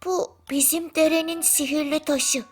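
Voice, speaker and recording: Woman voicing an eager, high-pitched village child. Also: steady tone, measured pace, faint music behind. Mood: earnest, tender.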